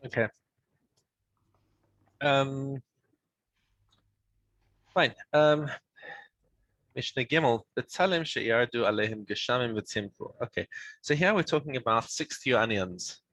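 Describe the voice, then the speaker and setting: A man teaching a Mishnah study session over a video call, explaining in a halting way with many restarts. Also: mid-range voice, a bit bright, dry recording.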